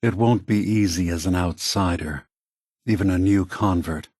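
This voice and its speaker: A man playing a weary, peace-seeking synth leader in a video game, speaking gravely and deliberately with a husky voice. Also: low voice, darkish tone, dry recording, studio quality. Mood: thoughtful, measured. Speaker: game character. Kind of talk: scripted video game dialogue.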